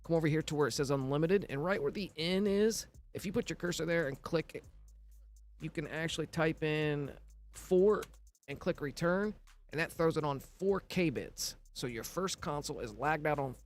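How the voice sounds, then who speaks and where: A man's voice: fairly high pitch, moderate pace, soft background music. A man with a scratchy voice narrating a casual step-by-step game tutorial, walking through each step plainly. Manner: instructional, walks through steps plainly. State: scratchy voice from recovering illness.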